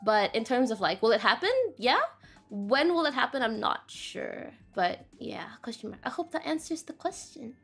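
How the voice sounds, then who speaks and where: A woman's voice: on the high side, lively intonation, normal speed, vocal fry, a bit bright, husky, faint music behind. A woman hosting a stream, scatterbrained and laughing at herself as she mutters while hunting for viewer questions. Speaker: VTuber host reading viewer questions. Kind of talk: casual stream chat.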